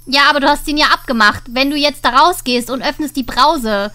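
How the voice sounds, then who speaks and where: Bright-voiced woman with a cold, gaming. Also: high-pitched, brisk. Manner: chatty, joking, thinks out loud. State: has a cold. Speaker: let's play host. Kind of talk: casual gameplay commentary.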